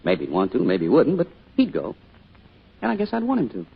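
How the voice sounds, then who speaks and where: A man's voice: medium pitch, wildly swooping pitch, fairly quick, vocal fry, husky, exaggerated voice. A man playing a loving, wistful small-town father in an old-time radio drama, with a folksy, reflective delivery. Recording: muffled old radio recording.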